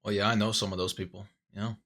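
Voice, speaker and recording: A man hosting a casual online chat, his voice sitting a bit low. He asks loose, probing questions full of fillers and restarts, speaking over a voice call. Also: little pitch movement, rapid-fire, a bit bright. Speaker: interviewer and host.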